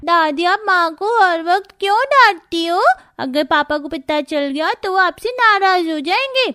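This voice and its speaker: Woman doing a squeaky plucky little girl. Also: lively intonation, unhurried, pushed and bright, no echo, clean studio sound, full character voice. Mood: reproachful. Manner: childlike, reproachful. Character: plucky, protective little granddaughter.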